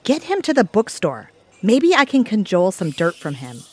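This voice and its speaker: Earnest, expressive woman. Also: medium pitch, normal speed, no room sound. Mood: determined. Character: earnest, sweet bookish woman turned schemer. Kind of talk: comic audio theatre acting.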